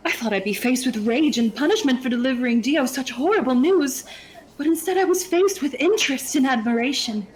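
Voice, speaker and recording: A woman's voice: sits high, a little fast, lightly acted. A woman playing a vampire lord's loyal, haughty minion in a scripted ASMR roleplay, speaking formally and persuasively with disdain. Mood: haughty, admiring.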